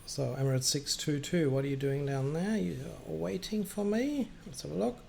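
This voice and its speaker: Higher-voiced man streaming, noisy recording. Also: normal speed, a little mellow. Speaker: livestream host playing air traffic controller. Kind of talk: casual gaming livestream chat with radio calls. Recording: clearly noisy background.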